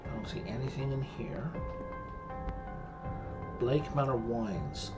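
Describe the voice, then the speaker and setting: A man's voice: mid-range voice, slow, highs cut off. A man casually thinking aloud while playing a mystery game, muttering to himself and explaining history as he goes. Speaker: gameplay streamer. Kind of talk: casual let's-play commentary. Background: game music.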